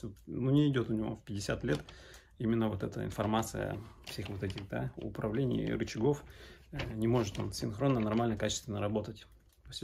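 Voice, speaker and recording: A man's voice: medium pitch, gravelly, darkish tone, slight background noise. A man in his thirties from Arkhangelsk in northern Russia, answering questions in a casual vlog with hesitant, broken phrasing. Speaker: sailor vlogger. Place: small indoor room at home.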